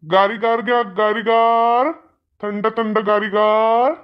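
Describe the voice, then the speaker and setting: A woman voicing a street ice-lolly seller with a drawn-out hawker's cry in a cartoon, over music on a dull recording. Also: on the high side, fairly even intonation, clear, resonant tone. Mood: calling out. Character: street ice-lolly vendor calling out wares.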